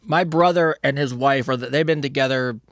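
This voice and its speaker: A man's voice: medium pitch, normal speed, a bit bright, dry recording, studio quality. A middle-aged man co-hosting a casual comedy podcast, telling loose rambling stories and reading out listener questions, with plenty of restarts and swearing.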